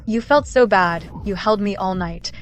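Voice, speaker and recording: A woman's voice: medium pitch, unhurried, lightly acted. A woman playing a manipulative, unfaithful girlfriend, plaintive and pleading, in melodramatic radio drama style. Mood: desperate, begging. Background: background music.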